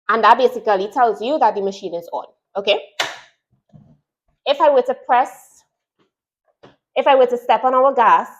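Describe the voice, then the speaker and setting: Woman, Trinidadian accent, sewing teacher, chatty, walks through each part in turn, mid pitch. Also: low-quality recording. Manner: chatty, explains step by step. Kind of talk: product unboxing and tutorial.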